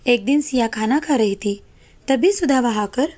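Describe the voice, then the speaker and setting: A woman's voice: fairly high pitch, normal speed, darkish tone. A woman storyteller reading scripted moral-story narration.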